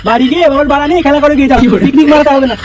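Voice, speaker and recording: A bossy cartoon gang boss kid, very high-pitched and extremely quick, scolding and swearing. He sounds a little distant over faint noise. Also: fairly even intonation. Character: bossy foul-mouthed young gang leader organising friends. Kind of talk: comic cartoon dubbing.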